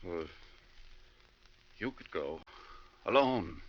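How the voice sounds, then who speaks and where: An actor playing a determined, suspicious bus passenger with urgent, clipped lines in an old-time radio thriller. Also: male voice, low voice, expressive, very slow, dull audio, slight background noise, exaggerated voice. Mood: firm. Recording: thin old radio recording.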